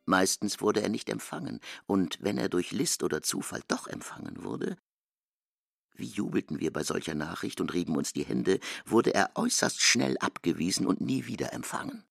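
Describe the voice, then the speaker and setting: An actor as a grave narrator, reading scripted literary narration in an audio drama. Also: male voice, low-pitched, lively intonation, normal speed, creaky, fairly bright tone, no room sound, clean studio sound, heavily acted. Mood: grave, measured.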